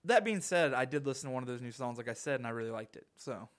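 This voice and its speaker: A man's voice: mid-range voice, lively intonation, fast talker. Laid-back young man, laughing often. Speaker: podcast co-host. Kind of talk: casual chat.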